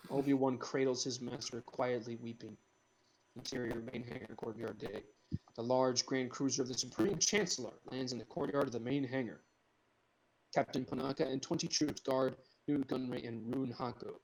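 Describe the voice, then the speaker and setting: A man's voice: medium pitch, fairly even intonation, moderate pace, rough recording. A man reading screenplay directions aloud as the narrator of a group script reading.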